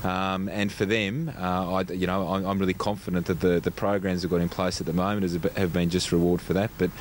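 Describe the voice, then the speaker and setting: A man's voice: low-pitched, little pitch movement, brisk, a little hiss or hum. An Australian man giving spontaneous interview answers as a school representative, often repeating the start of a phrase. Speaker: school leader being interviewed.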